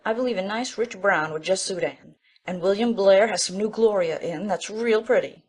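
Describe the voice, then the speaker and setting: A woman's voice: medium pitch, moderate pace, darkish tone, breathy edge, natural voice. A woman playing an opinionated, bossy middle-aged village busybody in an audiobook drama, chatty and self-assured. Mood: brisk, obliging.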